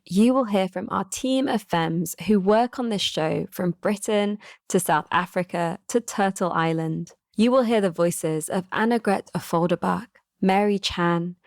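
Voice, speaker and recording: A woman hosting a podcast, reading a scripted welcome in a calm, measured, earnest way. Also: fairly low pitch, vocal fry, no echo, clean studio sound. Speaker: podcast host.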